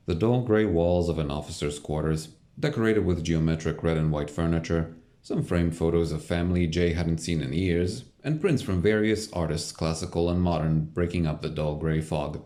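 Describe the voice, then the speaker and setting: A man's voice: low-pitched, warm tone. A man reading scripted third-person narration for an audio drama, as a storyteller. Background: music.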